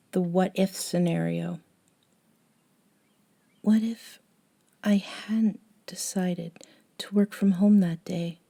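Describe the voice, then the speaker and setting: A woman playing a gentle, caring young woman with a wistful streak in an intimate ASMR roleplay, speaking softly and hesitantly and often dropping toward a whisper. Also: medium pitch. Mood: wistful regret.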